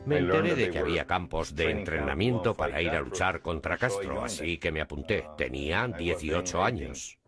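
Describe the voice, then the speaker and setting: A man giving a calm, matter-of-fact testimony as a dubbed voice-over for a documentary. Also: extremely low pitch, moderate pace. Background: guitar music.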